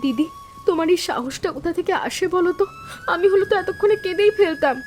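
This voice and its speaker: A woman playing a timid, earnest young sister-in-law in an animated story, with an anxious, pleading and deferential manner. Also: extremely high pitch, fast talker, soft background music. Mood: frightened.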